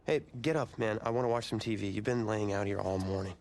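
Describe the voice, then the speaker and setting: A man's voice: on the low side, fast talker, crowd noise, close to an everyday voice. A man playing an irritable, competitive young college quarterback in a naturalistic video game cutscene. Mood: exasperated. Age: young adult.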